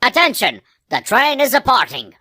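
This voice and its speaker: A woman's voice: on the high side, very animated, moderate pace, bright, forceful tone, slightly rough. A woman reading scripted narration for a children's cartoon game. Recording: noisy track with hiss.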